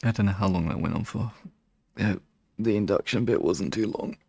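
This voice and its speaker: A man with a lowish voice and a lot of creak talks in a soft, relaxed way, as an ASMR streamer does with his listeners. The sound is dry and close, and he takes his time in casual chat. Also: moderate pace, no room sound. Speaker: ASMR hypnosis live streamer.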